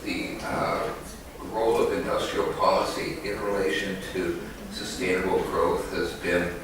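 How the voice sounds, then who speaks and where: Deep-voiced man in an echoey hall. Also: fairly even intonation, a little slow. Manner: hesitant, many fillers and restarts. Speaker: conference speaker, economist. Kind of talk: academic talk, unscripted. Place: conference hall. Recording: echoey hall, distant mic, noisy.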